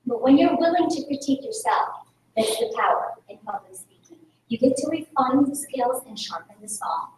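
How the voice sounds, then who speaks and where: A high-pitched woman from the Philippines, a native Tagalog speaker, speaks to a group with energy and keeps pulling the audience in with questions. The room is very echoey, and she sounds a little distant from the mic. Faint noise sits under her voice. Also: moderate pace. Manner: energetic, rhetorical, engaging the audience. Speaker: speaker at a club meeting. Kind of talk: public speaking talk with Q&A. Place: meeting room.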